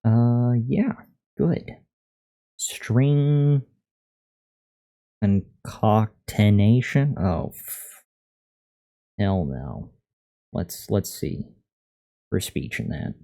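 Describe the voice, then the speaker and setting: A man chatting casually on a live coding stream, thinking aloud in a monotone voice into a USB condenser microphone. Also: on the low side, very slow, gravelly, long pauses. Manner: thinking aloud, reading out and answering chat. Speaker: live streamer learning to code. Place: untreated room at a computer. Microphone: USB condenser microphone at a desk.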